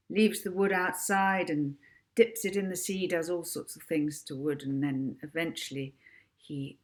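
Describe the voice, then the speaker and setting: A woman author narrating her own children's book, with casual asides to the listener. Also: low-pitched.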